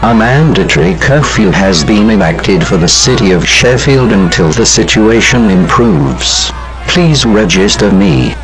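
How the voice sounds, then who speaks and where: A man's voice: fairly low pitch, lively intonation, measured pace, low-quality recording. A synthetic man's voice playing a stern official reading a formal, stilted emergency announcement. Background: music.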